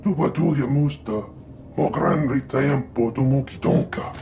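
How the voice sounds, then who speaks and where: A male actor voicing a small, twitchy, excitable alien merchant in a video game, jabbering in an invented tongue with a creaky voice. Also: mid-range voice, normal speed, faint music behind, lightly acted. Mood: excited, haggling.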